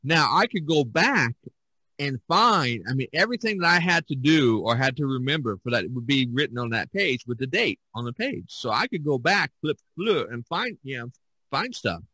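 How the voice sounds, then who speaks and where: A middle-aged man chatting casually as a podcast host, rambling and halting as he searches for words. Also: medium pitch, expressive, bright, forceful tone, breathy edge, no room sound, low-quality recording. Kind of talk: casual chat.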